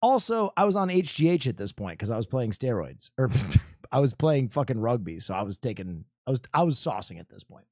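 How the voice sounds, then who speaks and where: A man's voice: mid-range voice, very animated, a little mellow, no echo, clean studio sound. A man chatting casually and crudely, joking as he reacts to old videos of himself. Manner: loose, profane, joking, quick asides.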